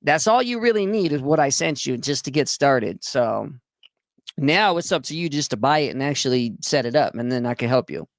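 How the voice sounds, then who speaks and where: A man's voice: mid-range voice, big pitch swings, normal speed, dry recording, clean studio sound. A man hosting a casual livestream talk, laid-back, laughing often and reading out chat comments. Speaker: livestream host.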